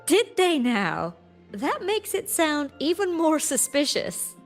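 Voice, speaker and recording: An actress playing a kind young graduate student in a video game, gently teasing and earnest, with slight hesitation. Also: female voice, high voice, sing-song, takes it slowly, soft background music, heavily acted. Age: young adult.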